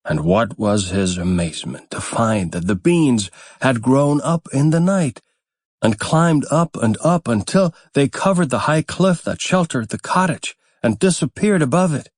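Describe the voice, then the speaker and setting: A man narrating a fairytale audiobook in a measured, deliberate storytelling manner. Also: medium pitch, animated, no room sound, studio quality.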